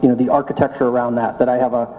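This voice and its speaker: Mellow man speaking in a reverberant hall. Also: medium pitch, level tone, racing, highs cut off. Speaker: panelist, insurtech founder. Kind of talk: panel talk.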